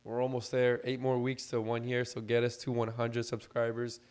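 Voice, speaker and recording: A man co-hosting a laid-back podcast talks in a fairly level, even tone with little rise and fall. His voice is a bit hoarse and scratchy, like it's only just coming back. He explains things loosely, with plenty of fillers along the way. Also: mid-range voice, normal speed. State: hoarse, recovering lost voice. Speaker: podcast co-host. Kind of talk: casual podcast chat.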